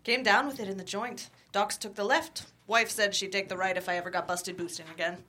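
A woman playing a smooth-talking, slangy Mexican man, an ex-con taco seller, in a comedy table read. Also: medium pitch, fairly even intonation, fairly quick, bright, forceful tone, slight background noise, natural voice. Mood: frank. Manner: slangy, cheeky, unashamed.

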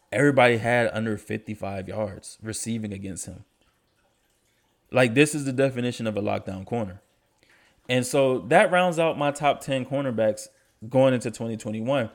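A man's voice: mid-range voice, moderate pace, gravelly. A young man hosting a casual sports podcast, stuffy from allergies, talking in a laid-back, slangy way. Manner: laid-back, slangy, enthusiastic about stats. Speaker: podcast host.

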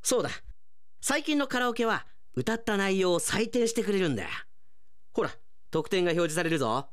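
A man acting in a radio drama as a gruff, friendly izakaya owner with rough, colloquial speech and an encouraging, easygoing manner. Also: sits high, very animated, fairly quick. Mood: cheerful, consoling.

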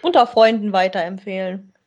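A woman speaks as co-host of a podcast. Her pitch is average for a woman, and her style is casual and conversational. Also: normal speed, darkish tone.

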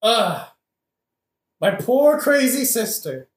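A woman's voice: fairly high pitch, very animated, takes it slowly. A woman playing a hot-headed, foul-mouthed young male avenger in a satirical audio play, brash and blustering. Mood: exasperated pity. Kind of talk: satirical modern-language audio play acting. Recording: roomy, echoing audio-play recording.

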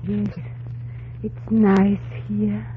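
A woman's voice: medium pitch, completely flat delivery, extremely slow. Tremulous, proud young runaway girl. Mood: softened, shy. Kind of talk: old-time radio drama acting. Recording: narrow, noisy old radio recording.

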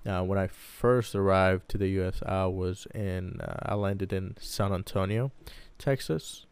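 A man in his twenties with a fairly low, smooth voice, recorded close and dry. He talks casually about himself as a podcast co-host, in a loose way with plenty of hedging. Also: steady tone, unhurried, a little mellow, dry recording. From Baghdad, Iraq. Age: 20s. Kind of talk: casual personal storytelling.

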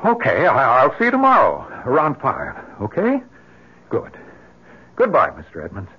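A man's voice: medium pitch, huge pitch swings, normal speed, slight background noise. An American actor in an old-time radio drama playing an anxious, protective husband and grieving father, pleading and hesitant. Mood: nervous, resigned. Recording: muffled lo-fi vintage radio recording.

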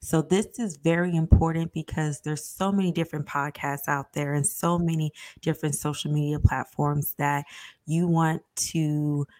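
A woman's voice: sits low, a little mellow, no room sound. A woman in her thirties co-hosting a podcast, chatting casually and animatedly, sometimes searching for her words. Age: 30s. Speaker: podcast co-host. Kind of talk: casual chat.

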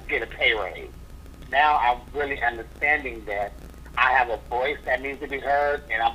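A man's voice: mid-range voice, a little hiss or hum. A man, a drag performer, talking as a guest in a casual interview, sassy and blunt in his opinions. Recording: dull lo-fi recording.

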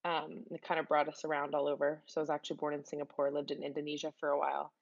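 A woman's voice: deep voice, completely flat delivery, rapid-fire, gravelly, dull audio. A young woman from Texas, a medical resident, answering interview questions in a friendly way with frequent laughs and fillers. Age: young adult. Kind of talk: casual interview.

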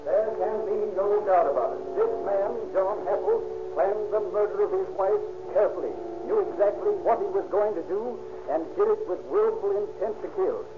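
A stern prosecutor , played by a man in an old radio drama, declaiming emphatically. The voice is high for a man and comes through a narrow, tinny recording with music. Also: moderate pace, few pauses, lightly acted. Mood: accusing. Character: stern, emphatic male prosecutor condemning a murderer. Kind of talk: 1930s radio acting.

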